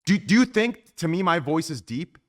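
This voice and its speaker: A man hosting a casual podcast chat, with a deep voice and an emphatic, rambling way of explaining himself. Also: normal speed. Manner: animated, emphatic, repeats "do you understand". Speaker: podcast host.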